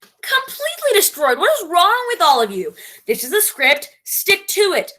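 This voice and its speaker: A woman's voice: very high voice, huge pitch swings, moderate pace, a bit bright, full character voice, poor audio. A woman playing a domineering, self-important playwright and director in a theatrical comedy, with a grandiose, imperious manner. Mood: exasperated. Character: pompous, domineering female radio playwright-director, self-declared genius.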